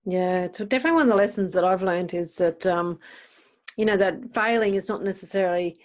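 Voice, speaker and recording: A woman's voice: fairly low pitch, brisk, darkish tone. A woman interviewer chatting casually and encouragingly, agreeing with her guest and building on the points made.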